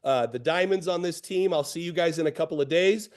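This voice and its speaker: A hoarse, pitched-up male voice, bursting with enthusiasm. He repeats words for emphasis and scatters fillers through his pep talk. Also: brisk. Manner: excited, repeats phrases, many fillers. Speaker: company executive. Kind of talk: motivational team address.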